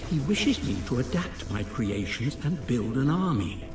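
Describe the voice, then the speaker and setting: Earnest inventor diarist: medium pitch, articulate, distant and roomy, music playing behind. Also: male voice, very animated, a little slow. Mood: troubled. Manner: formal, articulate. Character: earnest male inventor dictating a personal log. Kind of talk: dramatic recorded diary.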